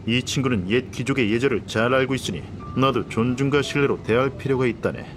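A man's voice: medium pitch, a little fast, music in the background, close to an everyday voice. A male actor playing a haughty, condescending nobleman in a video game dub, speaking in a pompous, formal aristocratic way. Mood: assured. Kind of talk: dubbed video game dialogue.

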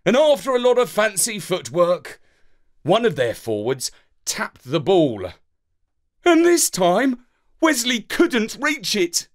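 Male narrator for children's fiction, slightly higher-pitched, playful and dramatic, extremely varied intonation. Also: huge pitch swings, takes it slowly. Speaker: storyteller reading a children's story. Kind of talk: animated scripted narration of a children's story.

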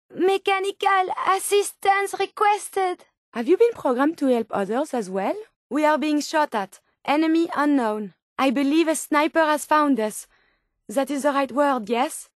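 A woman's voice: high-pitched, no echo, clean studio sound. An actress voicing a polite, earnest, curious female medical robot companion in a video game, with formal, precise, quaint phrasing. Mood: alarmed, urgent.

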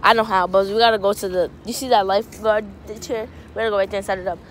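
A woman's voice: medium pitch, fairly even intonation, quick pace. A young woman chatting casually with a friend for a vlog, using slangy, profane, informal speech. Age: young adult. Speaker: vlogger. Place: outdoors on a beachfront and street. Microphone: handheld phone at arm's length. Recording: loud wind and outdoor street noise.

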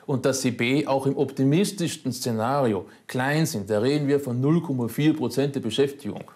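A man, an economist, answering interview questions in a measured, hesitant way with fillers. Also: mid-range voice, a little mellow.

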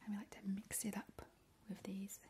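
A woman in a whispered ASMR roleplay, drawing out her words and repeating trigger words softly, recorded indoors at a table on a close mic. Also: mid-range voice, level tone, normal speed. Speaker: ASMR channel host.

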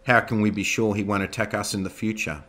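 A man's voice: steady tone, fast talker, fairly bright tone, a little hiss or hum, close to an everyday voice. A man voicing a stern general presiding over a military hearing. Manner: booming, authoritative.

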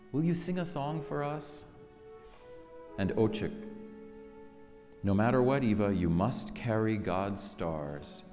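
A man in his fifties giving a solemn, measured poetry reading with dramatic pauses, in a large sanctuary. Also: low voice. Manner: measured, dramatic pauses between short phrases. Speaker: author reading own work. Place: large synagogue sanctuary, indoors. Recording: long reverberant hall, music behind.

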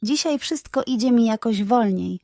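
A woman's voice: fairly high pitch, expressive, gravelly, warm tone, no echo. A woman voicing a kindly, sleepy old male badger baker in a children's story, musing wearily. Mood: drowsy. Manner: weary, sighing, slow musing.

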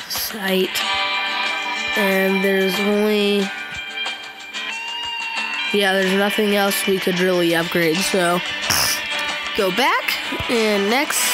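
Stuffed-up woman doing slow game commentary. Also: medium pitch, rough recording. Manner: hesitant, chatty, frequent ums and self-deprecating groans. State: stuffed-up nose. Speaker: gaming channel host. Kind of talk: casual let's play commentary. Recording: loud game music behind.

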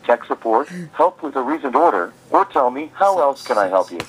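A man's voice: mid-range voice, very animated, brisk, husky. A man's voice as an automated phone assistant, reading polite scripted customer service prompts through a laptop speaker. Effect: phone call through laptop speaker.

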